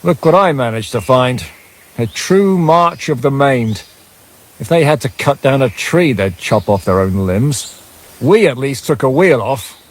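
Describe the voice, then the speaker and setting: Game casting: a commanding, sardonic leader of fighters, mid-range voice, gruff delivery. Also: male voice, mid-range voice, animated, a little slow. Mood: scornful, irritated. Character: gruff, bossy male leader of armed band. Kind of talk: video game character acting.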